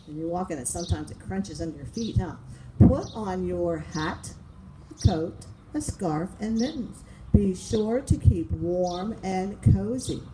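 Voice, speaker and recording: A woman reads picture books to young children at an unhurried, slow pace. She draws out words for drama and keeps turning to her listeners with simple questions. The recording is made outdoors, with loud noise and chirping birds around her voice. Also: medium pitch. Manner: asks the listeners frequent simple questions, dramatic word stretching. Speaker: children's storytime reader. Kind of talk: reading picture books aloud to young children, chatting between lines. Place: outdoors among flowers and open sky.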